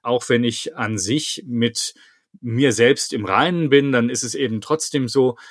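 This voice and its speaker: A man's voice: medium pitch, measured pace, dry recording, studio quality. A man talking conversationally and informally as an interview guest, reflecting on his own experience. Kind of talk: conversational personal account.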